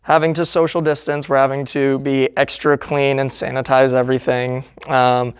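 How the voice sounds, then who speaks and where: A man's voice: mid-range voice, level tone, normal speed, clean tone, no room sound, clean studio sound. A man from a theater production giving informal answers in an interview.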